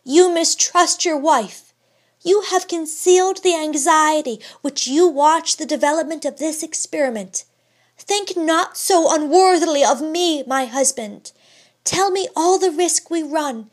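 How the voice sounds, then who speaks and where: A woman's voice: extremely high pitch, a little slow, voice pushed up. A woman reading the part of a devoted young wife in a raised voice, with formal old-fashioned speech. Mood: pleading. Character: devoted young wife, firm yet yielding.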